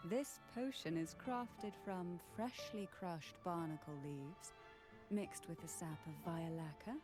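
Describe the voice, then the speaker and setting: An enigmatic lady of a castle who brews poisons, speaking slowly and gently over prominent music. She is poised and soothing, with a faint menace under the calm of a video game character. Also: on the low side. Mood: serene, alluring. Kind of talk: scripted video game voice acting.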